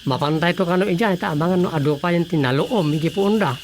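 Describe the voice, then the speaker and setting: A woman's voice: fairly low pitch, fairly quick, darkish tone, a little hiss or hum, lightly acted. A woman playing a sensible young villager in an Ilocano radio drama. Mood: casual.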